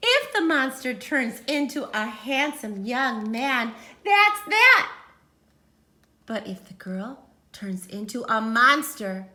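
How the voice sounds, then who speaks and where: A woman reads a children's story in a high, bright voice. The delivery is theatrical and unhurried, with dramatic pauses, hushed setups and sudden emphatic swells. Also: expressive. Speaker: teacher reading a picture book aloud.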